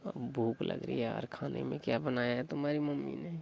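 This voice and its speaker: A young man playing a mildly sulky, teasing newlywed husband in a casual staged phone-call skit, questioning and gently reproachful. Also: medium pitch, steady tone, a little fast, creaky. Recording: noisy phone call recording.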